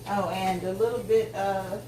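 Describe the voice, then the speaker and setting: A woman's voice: mid-range voice, little pitch movement, a little slow, mellow, recorded from a distance, a little hiss or hum. Chatty fortysomething woman cooking in an echoey kitchen. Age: 40s. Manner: rambling, talkative, many ums and tangents. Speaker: home cook vlogger. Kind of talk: casual chat while cooking. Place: home kitchen.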